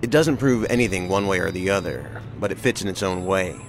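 An actor playing a seasoned, thoughtful investigator in an audio drama, measured and terse. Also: male voice, deep voice, noisy background.

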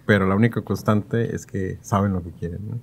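A man, hosting a casual podcast with thoughtful, halting phrasing. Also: sits low, steady tone, vocal fry, husky. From Mexico. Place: small padded podcast studio. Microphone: studio mic on stand.

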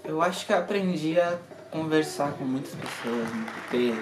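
A man's voice: on the high side, lively intonation, a little fast, a crowd in the background. A teenage Brazilian male exchange participant narrating a recap. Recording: echoey room with faint music behind.

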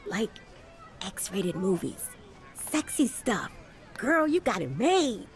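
A woman's voice: mid-range voice, sing-song, slow. An actress playing a sly, teasing middle-school girl in an audio drama.

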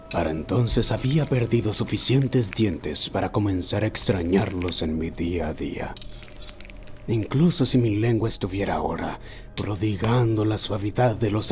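A man voicing an obsessive old man who recounts his lifelong fixation in a solemn, deliberate horror narration. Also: deep voice, a little mellow. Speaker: amateur narrator of a horror short. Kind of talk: scripted first-person horror narration.

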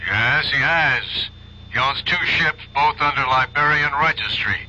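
An actor in a 1970s radio drama playing a gruff, weary detective chief, blunt and grumbling, through a telephone filter. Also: male voice, medium pitch, a little slow, sharp tone. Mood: grumpy, tired. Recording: thin, dull vintage radio recording.

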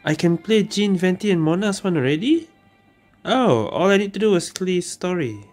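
A woman's voice: on the low side, expressive, moderate pace, darkish tone. A woman streamer giving casual gameplay commentary and reacting to the game. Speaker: gaming streamer. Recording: game music behind.

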